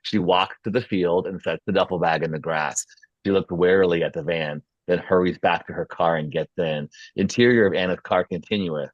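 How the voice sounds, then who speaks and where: A man's voice: sits low, fairly even intonation, moderate pace, dry recording, dull audio. A man reading scene headings and action as scripted narration at a screenplay table read. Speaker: stage directions reader.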